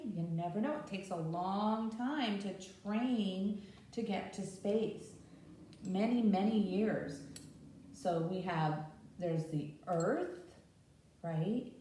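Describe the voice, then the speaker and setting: A woman's voice: mid-range voice, a little mellow. A woman presenting a children's storytime, teaching in simple explanations and asking the children frequent questions. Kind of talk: interactive children's read-aloud and teaching talk. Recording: very echoey room, distant microphone.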